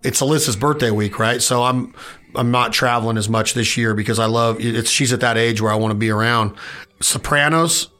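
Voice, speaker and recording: Man in late forties, podcast co-host, excitable, average pitch. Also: brisk. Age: 40s. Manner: excitable, voice jumps up when making a point. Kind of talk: casual chat.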